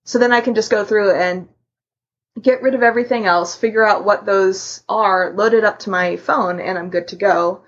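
Female podcast panelist, mid-pitched and raspy, explaining things casually in halting steps, slightly muffled lo-fi sound. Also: steady tone. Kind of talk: casual chat, explaining a personal method. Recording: muffled lo-fi voice recording.

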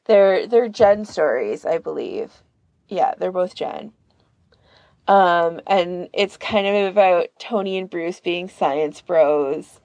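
A woman with a slightly low voice chats as a podcast co-host. She offers recommendations in a casual, conversational style, and the sound is dry, without echo. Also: lively intonation, slow pace, darkish tone. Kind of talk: casual chat, giving recommendations.

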